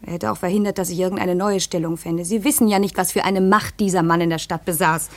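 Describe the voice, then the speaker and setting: An actress playing a troubled young widowed aunt, confiding hesitantly and earnestly in a 1950s German radio play. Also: female voice, lowish voice, fairly even intonation, quick pace, lightly acted. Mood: sorrowful, confiding.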